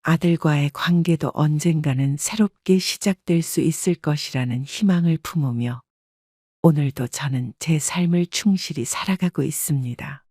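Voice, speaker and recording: Calm reflective older woman narrator. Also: low voice, normal speed, no room sound, studio quality. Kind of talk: scripted first-person story narration.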